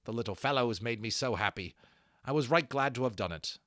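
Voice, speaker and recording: A man's voice: medium pitch, a little fast, a bit bright, dry recording, studio quality, lightly acted. A man voicing a friendly young craftsman in an audiobook, plain-spoken, cheery and deferential. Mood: pleased. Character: friendly, respectful young male harness workman.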